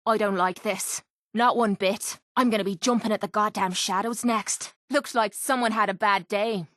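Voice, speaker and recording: An actress playing a tough, sarcastic young pit fighter in a video game, with an Irish accent and a blunt, salty, wry way of talking. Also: female voice, medium pitch, steady tone, normal speed, a bit bright, no echo, studio quality, lightly acted. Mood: wry, guarded. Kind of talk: video game character voice lines.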